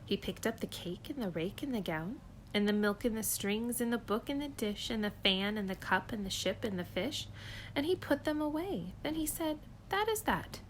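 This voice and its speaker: A preschool teacher reading a rhyming picture book aloud to children, clear, rhythmic and expressive. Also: female voice, medium pitch, normal speed, slight background noise, low-quality recording.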